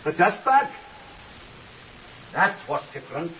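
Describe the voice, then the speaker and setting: An actor playing an earnest, stiffly formal young Welsh scholar in a 1950s radio drama, polite and deferential with singsong phrasing. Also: male voice, sits high, very animated, slow pace, pushed and bright, recorded from a distance, heavily acted. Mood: puzzled, agitated. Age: young adult. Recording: muffled hissy old radio recording, off mic.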